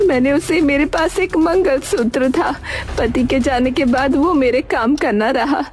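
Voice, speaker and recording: A woman's voice: high-pitched, a little slow. A woman playing a poor, meek old village widow in a cartoon story, hesitant and pleading. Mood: distressed, pleading. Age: older. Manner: hesitant, pleading, stammers when upset. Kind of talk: Hindi cartoon story acting.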